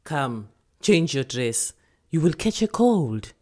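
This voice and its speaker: A woman voicing an elderly grandmother in a story reading, caring but evasive, with a hushed, measured manner. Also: low-pitched, very animated, full character voice. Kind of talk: acted dialogue in a short story reading.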